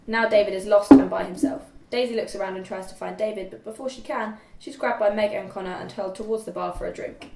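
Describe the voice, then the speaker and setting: A woman reads out scene directions at a casual script read-through. Her pitch is middling, and she sounds a little distant in a roomy, echoing space with faint background noise. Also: a little mellow. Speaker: reader of stage directions. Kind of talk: scripted narration at informal table read. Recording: echoey room, distant mic, faint noise.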